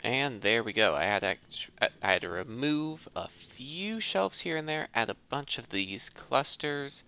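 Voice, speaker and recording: Bright, animated man narrating his gameplay. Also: mid-range voice, measured pace, clean tone. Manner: thinking aloud, talking through each step. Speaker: host of a gaming video series. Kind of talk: casual gameplay commentary.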